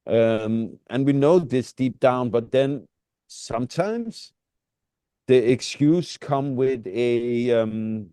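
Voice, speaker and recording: A man's voice: mid-range voice, slow pace, mellow, smooth, no room sound. A middle-aged man, a native Danish speaker with a Danish accent, co-hosting a casual reflective conversation and often searching for words. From Denmark. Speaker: podcast co-host.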